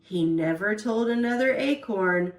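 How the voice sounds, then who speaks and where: A woman storyteller reading a children's picture book aloud in an expressive, deliberate way. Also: medium pitch, roomy sound.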